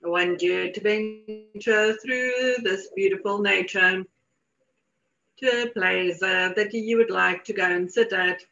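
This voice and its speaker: A woman's voice: medium pitch, gravelly, a bit bright, soft background music. Woman guiding meditation, dull lo-fi recording. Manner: soothing, deliberate, repetitive phrasing. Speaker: meditation guide. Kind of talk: guided meditation. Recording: dull lo-fi audio.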